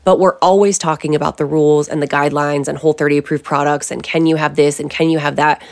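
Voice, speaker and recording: A woman's voice: low-pitched, quick pace. A middle-aged woman, a company founder, answering interview questions in a confident, emphatic conversational way. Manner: confident, emphatic, lively asides.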